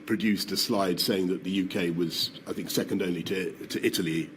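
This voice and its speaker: A man's voice: low-pitched, fairly even intonation, brisk. A man giving formal testimony, hesitant and politely demurring, with some noise on the hearing-room recording. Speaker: inquiry witness.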